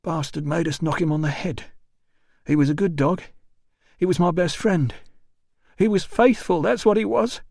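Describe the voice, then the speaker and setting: A wailing drunk porter. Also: male voice, fairly high pitch, normal speed, gravelly, pauses often, a little mellow, dry recording, clean studio sound, voice pushed up. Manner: slurred, wailing, grief-stricken. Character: drunk weepy male army hospital porter.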